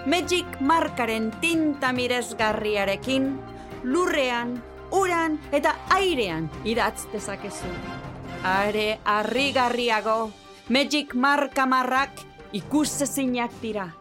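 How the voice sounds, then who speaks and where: A woman's voice: a little high, unhurried, over music, lightly acted. A woman playing a playful, whimsical figure in a radio play, with theatrical delivery. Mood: salesy.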